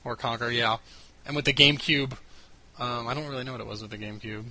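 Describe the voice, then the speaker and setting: A man's voice: mid-range voice, fast, a crowd in the background, low-quality recording. A man in his late twenties chatting casually on a gaming podcast, with a blunt, slangy way of talking. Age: late 20s. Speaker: podcast panelist. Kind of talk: casual chat about video games.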